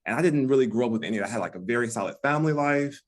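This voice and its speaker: A man's voice: mid-range voice, animated, rapid-fire. A man with an American accent, a guest on a relationship podcast, talking casually with frequent restarts and fillers. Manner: rambling with many restarts and fillers. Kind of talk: casual conversation.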